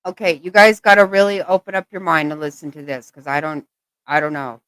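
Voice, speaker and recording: Woman host, low voice, dry sound, profane exasperated commentator. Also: level tone, a little fast, a bit bright, dry recording. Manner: blunt, swearing, exasperated. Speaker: channel host reacting to clips. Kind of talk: angry reaction commentary.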